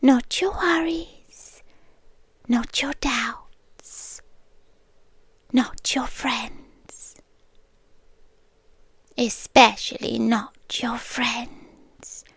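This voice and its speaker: A British woman playing a doting, possessive serpent-like monster girlfriend in a breathy, soothing and controlling ASMR whisper. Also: fairly high pitch, no room sound, full character voice. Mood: hushed, possessive. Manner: slow, hushed, soothing and controlling. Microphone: close ASMR microphone.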